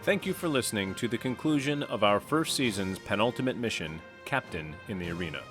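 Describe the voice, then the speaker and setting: Low-pitched man reading outro over music. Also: a bit bright. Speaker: podcast announcer. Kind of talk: scripted outro narration.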